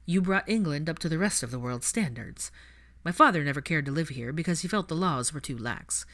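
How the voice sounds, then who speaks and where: A woman narrator voicing a patient, wry young aristocratic father in a measured, dry way for a fan fiction reading. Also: low voice, animated, a bit bright. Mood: reflective, measured.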